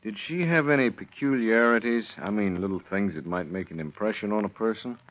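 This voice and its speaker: A man's voice: lowish voice, a little fast, lightly acted. An actor playing a shrewd male police detective with a dry, needling manner, in 1930s radio drama style. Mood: probing, polite. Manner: dry, needling, leading questions. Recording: dull lo-fi old radio recording.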